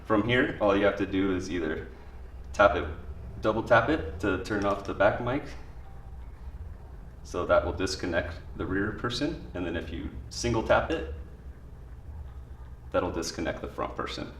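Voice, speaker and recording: Male tutorial presenter, low-pitched, plain informal delivery, echoey room sound with faint background noise. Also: expressive, normal speed, long pauses, fairly bright tone, far from the mic. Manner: plain, informal spoken explanation. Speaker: product demonstrator. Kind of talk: instructional product tutorial, step by step.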